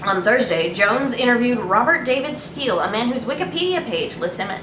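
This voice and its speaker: A woman's voice: fairly high pitch, expressive, fairly quick. A young adult woman, hosting in a casual comedic style, chatting and reading news articles aloud with informal, giggly delivery. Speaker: host reading news headlines. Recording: roomy echo with clear background noise.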